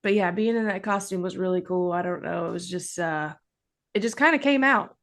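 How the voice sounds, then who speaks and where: Animated woman telling a story. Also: brisk. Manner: animated, acts out quoted dialogue with pitch jumps. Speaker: guest, actress and haunt performer. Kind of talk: casual storytelling interview.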